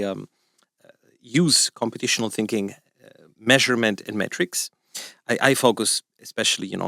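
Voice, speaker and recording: A man's voice: fairly low pitch, creaky, sharp tone, no echo, clean studio sound. A middle-aged man from Italy with an Italian accent, chatting on a podcast as a research professor, rambling with many fillers and jokey asides. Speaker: research professor, lab co-director. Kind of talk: casual podcast chat.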